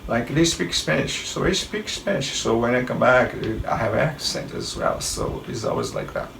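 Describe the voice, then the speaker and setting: A man's voice: medium pitch, wildly swooping pitch, moderate pace, creaky, fairly bright tone, slight background noise. A middle-aged man with a Brazilian Portuguese accent, giving rambling travel tips in a casual monologue and often restarting his sentences. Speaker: travel vlogger. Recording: roomy, distant mic with faint ambience.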